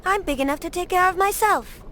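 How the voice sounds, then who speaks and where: A young orphan girl from a game, very high and sharp-toned. She is blunt, spunky and defiant, over faint background ambience. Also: a little fast, a little hiss or hum. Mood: defiant, curious. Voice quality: bright, childlike. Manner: blunt, cheeky. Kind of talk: video game voice acting.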